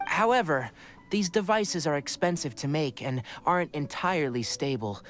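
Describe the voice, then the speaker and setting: Man acting composed, serious young scholar with lively pitch. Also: natural voice. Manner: measured, clinical explaining. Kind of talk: anime video game voice acting. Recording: game audio with music behind.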